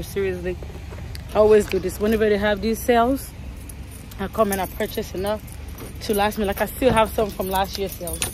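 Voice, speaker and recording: A young woman vlogging casually into a handheld phone camera, chatty and animated, filmed in a car at night and later at home. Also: medium pitch. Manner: chatty and animated, lots of "like" and "you guys". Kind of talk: casual vlog chat. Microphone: phone camera held close. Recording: noisy phone recording with car noise.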